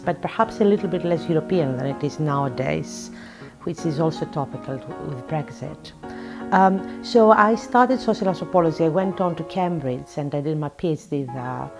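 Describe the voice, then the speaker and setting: Woman from Greece, forties, deep voice, reflective, hesitant fillers, soft music underneath. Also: expressive, normal speed. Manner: reflective, thoughtful, with frequent ums and uhs. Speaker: author and psychotherapist. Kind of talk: interview answers. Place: her office.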